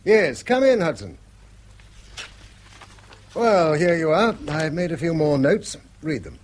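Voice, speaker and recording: An actor playing an earnest, donnish middle-aged schoolmaster in a radio play, courteous and a little hesitant. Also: male voice, a little high, big pitch swings, takes it slowly, slight background noise. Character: weary, bookish middle-aged schoolmaster, earnest and gently pedantic.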